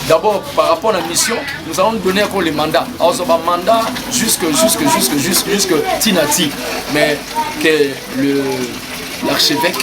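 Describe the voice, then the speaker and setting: A man's voice: fairly high pitch, moderate pace. A man with a Congolese French accent speaking in an indignant, emphatic way, often stumbling over repeated words in a heated street interview. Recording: crowd noise around.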